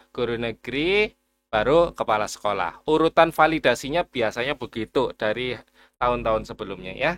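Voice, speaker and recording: A man's voice: medium pitch, fairly bright tone, a little hiss or hum. A man giving a casual, reassuring screen-share tutorial and addressing viewers as friends. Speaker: education YouTuber explaining teacher data portal.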